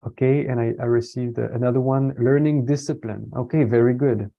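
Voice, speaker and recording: A man from France giving a casual online talk, relaxed and hesitant, with many fillers and restarts. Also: mid-range voice, fairly quick, vocal fry. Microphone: computer mic on a video call. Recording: dull lo-fi video call audio.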